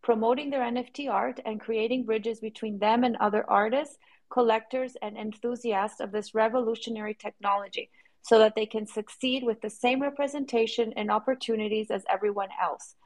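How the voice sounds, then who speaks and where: A woman co-hosting an online chat, reading a guest bio aloud and welcoming her warmly. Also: a little high, fairly even intonation, fairly quick. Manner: friendly, reads aloud smoothly. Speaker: co-host.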